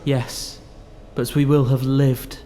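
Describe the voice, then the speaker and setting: An actor playing a brave, devoted young lover with solemn, resolute delivery in a stage-like audio drama. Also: male voice, mid-range voice, level tone, slow pace, mellow, natural voice. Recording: reverberant hall, faint noise.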